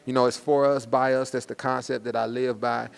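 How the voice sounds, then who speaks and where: A man's voice: mid-range voice, level tone, a little fast, gravelly, clean tone. A man hosting a promotional interview in slangy hype talk, often ending phrases with "man". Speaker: host.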